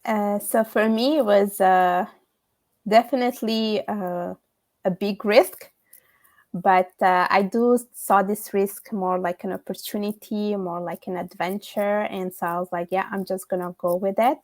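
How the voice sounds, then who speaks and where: A young adult woman from Italy, a guest on a remote call, answering with measured phrasing, frequent fillers and small restarts. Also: mid-range voice, fairly even intonation, a little mellow, dry recording. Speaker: guest, author.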